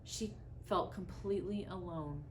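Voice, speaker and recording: Woman reading a storybook, noisy recording. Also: fairly low pitch. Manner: dramatic, with deliberate pauses and emphasis. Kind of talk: expressive read-aloud of a children's story.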